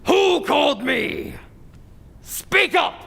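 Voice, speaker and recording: A vengeful ghost lord shouts archaic threats in a very high, gravelly shriek. The delivery is slow and menacing, old radio drama style, ringing through a long cavernous reverb. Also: male voice, expressive, full character voice. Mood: menacing fury. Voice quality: raspy, strained shriek.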